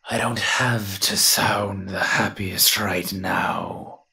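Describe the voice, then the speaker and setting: Grumbling low-voiced monster, acted by a man. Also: steady tone, extremely slow, creaky, sharp tone, full character voice. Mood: grudging, sardonic. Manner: grumbling, sardonic, dry. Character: grumbling, reluctant invisible male monster, secretly soft-hearted. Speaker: voice actor in an audio roleplay. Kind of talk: scripted character monologue, audio roleplay.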